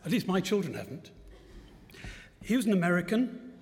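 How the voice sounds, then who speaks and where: A man in his seventies giving a personal testimony at a church service, telling his life story in a gentle, reflective way with light self-mocking humour. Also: high voice, sing-song, normal speed. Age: 70s. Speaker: guest speaker at a church service. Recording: long church-hall reverb.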